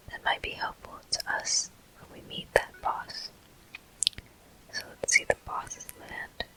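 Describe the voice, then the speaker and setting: A breathy whisper with a bright, crisp edge, delivered very slowly. The speaker narrates a video game in ASMR style, softly and close to the microphone, taking each step gently. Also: rough recording. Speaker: gaming video host.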